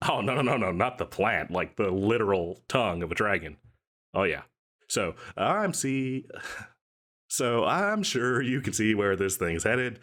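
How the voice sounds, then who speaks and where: A man's voice: fairly low pitch, sing-song, a little slow, fairly bright tone, exaggerated voice. A man acting an overeager, swooping shady salesman. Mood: chatty, impressed. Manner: rambling, stammering, theatrically coy, chuckling sales patter. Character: overeager male yandere-agency salesman, chatty and cheerfully shady. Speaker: audio roleplay voice actor. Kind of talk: comedic audio roleplay, one side of a conversation.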